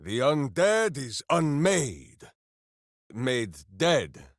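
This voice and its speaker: A hearty berserker hero for a video game, simple-minded and boastful. He declaims slowly and theatrically, swinging widely in pitch. The sound is close and dry. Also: very animated, dry recording, exaggerated voice. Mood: earnest, fond. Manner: bombastic, theatrical, speaks of himself in third person. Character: hearty, simple-minded, boastful heroic berserker warrior devoted to his hamster. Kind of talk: video game character voice lines.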